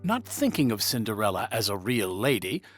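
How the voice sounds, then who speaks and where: A man narrating a scripted children's fairy tale in an expressive, dramatic storytelling manner. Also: lowish voice, normal speed. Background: music.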